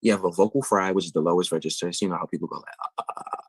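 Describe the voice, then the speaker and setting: A low-voiced man answers interview questions about singing. He explains vocal technique patiently and at length, and the recording is dry and close. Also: very fast, breathy edge, dry recording. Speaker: guest singer.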